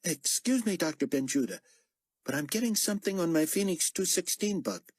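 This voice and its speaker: A narrator puts on a young male tech operative with a high, husky voice that bounces around a lot. He sounds quick and dry, like someone running a covert broadcast, and it is recorded close and dry for an audiobook. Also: lively intonation, dry recording. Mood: wry, brisk.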